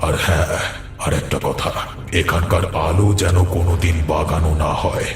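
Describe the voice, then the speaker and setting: A menacing jinn from a horror cartoon, voiced by a man. The voice is extremely deep and monotone, sounding distant and echoing. It speaks like a hungry threat. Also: background noise, natural voice. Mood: grim, compliant. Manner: slow, threatening. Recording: echoing, distant voice over music.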